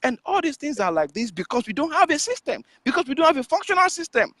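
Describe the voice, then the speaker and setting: A man's voice: very high voice, very animated, quick pace, pushed and bright, no room sound, clean studio sound. A man, a guest author making an earnest, insistent appeal and repeating himself on a call.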